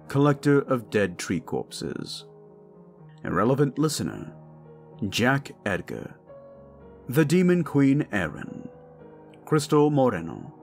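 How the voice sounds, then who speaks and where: Animated low-voiced man hosting, unhurried. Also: creaky. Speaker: host, voice actor. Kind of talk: channel outro with thanks.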